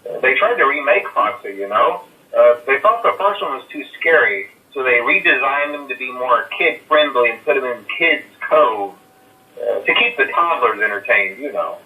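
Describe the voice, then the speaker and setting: A man's voice: mid-range voice, expressive, moderate pace, distant mic, lightly acted. A man playing a hesitant phone caller who leaves rambling, reassuring messages full of "uh" fillers. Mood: easygoing. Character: nervous male night-guard phone caller. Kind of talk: recorded game voice lines. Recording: muffled echoey phone-message recording.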